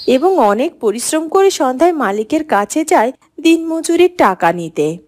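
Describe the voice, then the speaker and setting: A woman's voice: high voice, sing-song, moderate pace, a little mellow. A woman giving scripted narration for a children's fairy-tale cartoon. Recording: music under the voice.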